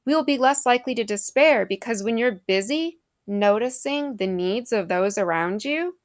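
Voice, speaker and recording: A woman's voice: on the high side, lively intonation, normal speed, no room sound, studio quality. Earnest woman giving a reflective devotional talk. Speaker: speaker giving a faith reflection.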